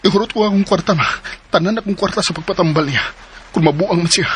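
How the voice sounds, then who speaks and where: An actor playing a devoted, worried young man in love in a Philippine radio drama, earnest and halting, with a Cebuano Filipino accent. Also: high voice, a bit bright, slight background noise. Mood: earnest, loving.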